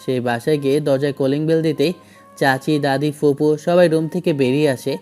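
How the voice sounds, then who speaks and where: A man's voice: a little high, steady tone, normal speed, warm tone, soft background music. A man narrating a first-person romantic story in a dramatic scripted style.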